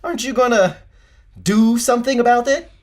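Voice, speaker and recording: An actress playing an excitable, incredulous young date in an audio drama. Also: female voice, on the high side, a little fast, lightly acted.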